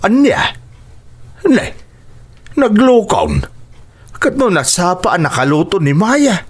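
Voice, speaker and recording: A man's voice: high voice, big pitch swings, slow pace, breathy edge, exaggerated voice. A man playing a blunt, grumbling man of the household in an Ilocano radio drama, speaking emphatically. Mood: surprised, grumbling.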